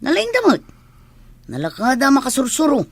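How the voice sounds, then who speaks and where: A woman playing a sly, eager sidekick in a radio drama, persuasive and animated, with a Filipino accent. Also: high-pitched, moderate pace, slightly rough, no echo, heavily acted. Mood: eager, reassuring.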